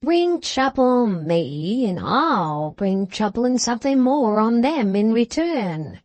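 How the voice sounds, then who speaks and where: A woman voicing a savage, rough man, a mocking villain who drawls his threats in an audiobook. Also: on the high side, big pitch swings, slow, darkish tone, no room sound, full character voice. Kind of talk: dramatised audiobook reading.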